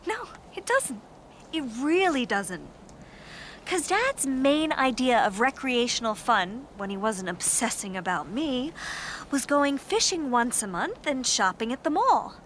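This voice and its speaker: An actress playing a guarded, grieving American daughter in a naturalistic radio drama. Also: female voice, high voice, big pitch swings, a little slow, fairly bright tone, slight background noise, exaggerated voice. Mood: defensive, hurt. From United States.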